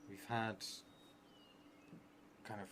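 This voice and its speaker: A man's voice: fairly low pitch, flat delivery, very slow. A man in his thirties improvising a story aloud for a solo tabletop game, hesitant and musing, often trailing off. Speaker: solo tabletop roleplay player and streamer. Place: indoors at a table in a theatre room. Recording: clearly noisy room recording.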